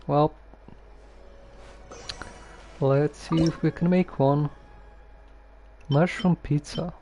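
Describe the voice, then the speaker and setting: A man giving casual live gameplay commentary, haltingly muttering to himself as he plays. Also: on the high side, warm tone, a little hiss or hum. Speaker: game streamer.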